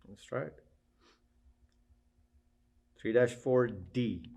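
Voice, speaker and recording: Slow-speaking man calling takes. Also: medium pitch, creaky, a little mellow, husky. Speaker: recording session worker calling takes. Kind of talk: slating takes.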